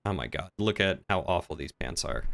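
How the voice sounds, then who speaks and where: A man talking casually on a gaming livestream, chatty and playful, thinking out loud as he goes. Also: low voice, lively intonation, brisk, creaky.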